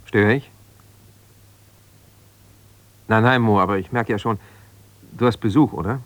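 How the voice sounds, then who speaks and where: Low-voiced, aggrieved husband, played by an actor. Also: male voice, a bit bright, no echo, lightly acted. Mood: awkward, hesitant. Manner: halting, colloquial, self-justifying. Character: aggrieved, jealous husband, an ambitious lawyer. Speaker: radio drama actor. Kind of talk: naturalistic radio drama, one side of a phone conversation.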